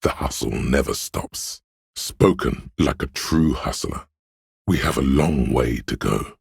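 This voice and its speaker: A man voicing a slick, smug, money-minded inner voice in a video game, speaking in a suave, deliberate, theatrical way. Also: dry recording, clean studio sound, heavily acted. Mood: smug, coaxing. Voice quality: very deep, gravelly, husky. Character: slick hustling inner voice of a detective, smugly money-obsessed. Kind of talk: scripted game narration, theatrical and measured.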